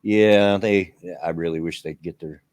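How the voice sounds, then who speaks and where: A middle-aged man with a Southern US accent hosting a casual livestream chat from his bedroom, loud and carrying, laughing often. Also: low voice, fairly even intonation, a little slow, clear, resonant tone, no room sound, clean studio sound. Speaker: host. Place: bedroom at home.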